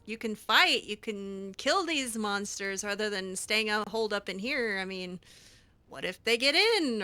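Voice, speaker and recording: A woman's voice: fairly high pitch, bright, forceful tone. A woman roleplaying her way through a fantasy video game, improvising in character with a hesitant, wry, sarcastic manner. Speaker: gamer roleplaying a mage heroine.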